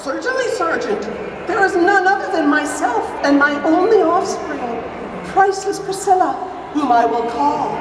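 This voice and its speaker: A woman's voice: extremely high pitch, animated, normal speed. A woman playing a fussy, theatrical mother in flowery live stage melodrama. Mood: prim. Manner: flowery alliterative melodramatic delivery. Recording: distant, echoey noisy hall.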